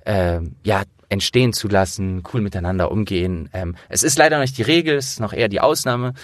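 A man's voice: fairly low pitch, brisk, no echo, clean studio sound. A man hosting a podcast in a casual, chatty way, feeling for his words as he goes. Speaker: podcast host and producer.

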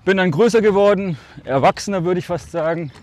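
A man's voice: sits high, fairly quick, darkish tone. Reflective German man telling stories. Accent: Altmark, northern Germany, slightly Swabian. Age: 40s. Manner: reflective, speaks in short phrases, often draws words out. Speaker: podcast guest. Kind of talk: casual storytelling.

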